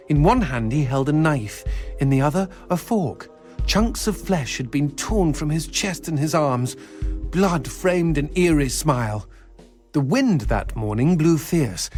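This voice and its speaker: An actor playing a wisecracking talking demon skull in a video game, with a florid, droll storyteller's delivery. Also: male voice, fairly high pitch. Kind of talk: scripted video game acting.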